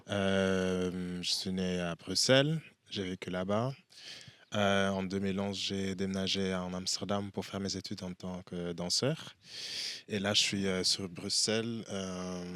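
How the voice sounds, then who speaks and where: Low, flat-voiced young man, halting. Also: no echo. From Belgium. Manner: halting, many pauses and "euh" fillers. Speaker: interview guest, dancer and performance artist. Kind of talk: casual interview. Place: outdoors in a park at a festival.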